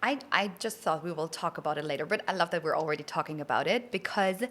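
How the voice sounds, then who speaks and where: A woman's voice: low voice, fast talker, a bit bright. A woman hosting a podcast, chatting casually and enthusiastically with an effusive, complimentary manner. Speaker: podcast host. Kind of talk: casual enthusiastic interview chat.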